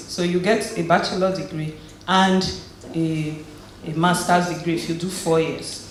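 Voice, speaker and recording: A woman tells her own story to an audience in a chatty, storytelling way, often stumbling and restarting mid-phrase. Her voice rings around a very echoey hall. A faint hum of noise sits underneath. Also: fairly low pitch. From Nigeria. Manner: conversational storytelling with frequent restarts. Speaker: guest speaker at a community event. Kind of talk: personal testimony and encouragement.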